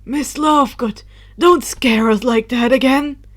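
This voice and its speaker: A woman narrator plays an elderly wizard headmaster in an audiobook tale. He is stern and worried but kindly, and the voice stays on the high side. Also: unhurried, a bit bright. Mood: scolding. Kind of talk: audiobook character voice.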